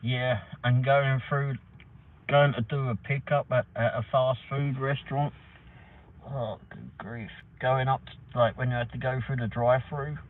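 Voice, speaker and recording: A man's voice: medium pitch, a little slow. Chatty Englishman on a tinny stream. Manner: rambling, chatty, mild British swearing. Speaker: livestream host, delivery driver. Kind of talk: casual live chat. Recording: tinny phone-like audio with faint noise.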